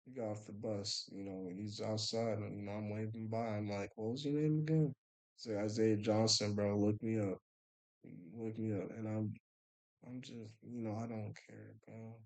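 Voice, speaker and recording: Young man, low voice, laid-back rambling, mumbles and trails off. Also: fairly even intonation, moderate pace, rough recording. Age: young adult. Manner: laid-back, says "bro" and "you know" constantly; often trails off quietly, mumbling. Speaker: vlogger. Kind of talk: rambling casual storytelling.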